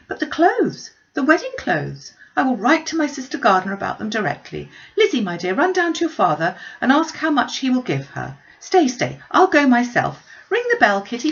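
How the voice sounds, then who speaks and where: A woman voicing an excitable, gushing, scatterbrained middle-aged mother in a classic novel read aloud, with fluttery, exclamatory delivery. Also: on the high side, muffled recording, pitched above the performer's own voice. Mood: excited, bustling.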